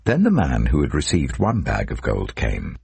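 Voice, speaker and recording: A man narrating scripture dramatically, in a solemn, deliberate way. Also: rumbling low, wildly swooping pitch, gravelly, a little mellow, breathy edge, no echo. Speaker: Bible narrator.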